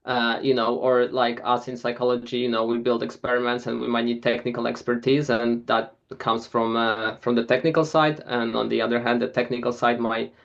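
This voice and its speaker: A man's voice: mid-range voice, little pitch movement, fairly quick, creaky, few pauses, a bit bright, highs cut off. A man, giving an informal club presentation in a hesitant way with many filler sounds. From Poland. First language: Polish.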